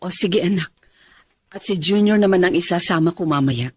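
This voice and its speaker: A woman's voice: on the low side, moderate pace, natural voice. An actress playing a devoted, anxious Filipino wife and mother in an emotional radio drama. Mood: concerned, motherly. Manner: emotional, expressive.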